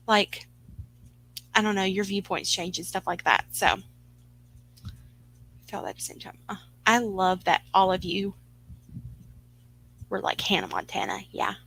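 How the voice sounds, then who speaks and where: A woman's voice: mid-range voice, a bit bright, rough recording. Chatty young woman livestreaming. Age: 20s. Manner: rambling, filler-heavy and upbeat. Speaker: livestream host. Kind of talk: casual live-stream chat with viewers.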